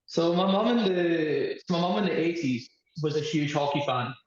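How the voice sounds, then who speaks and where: A man's voice: on the high side, expressive, moderate pace. Young adult Scottish male podcast guest. Chatty, easygoing delivery, heavily reverberant room, muffled lo-fi sound. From Scotland. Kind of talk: casual chat. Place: echoey indoor room at a hostel.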